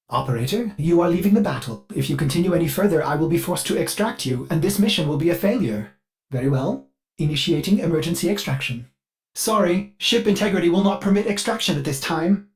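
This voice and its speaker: A man's voice: a little high, animated, brisk, soft background music. A man voicing a fussy, servile ship computer AI in a video game, speaking in a chirpy, formal and theatrical way with an undercurrent of violent glitches. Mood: helpful, anxious. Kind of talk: video game character voice lines.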